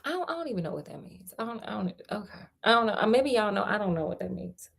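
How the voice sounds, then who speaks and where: A woman with an American accent reads a fairy tale in an animated style, with playful, chatty, slangy asides. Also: medium pitch, fast talker, vocal fry. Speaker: storyteller and host.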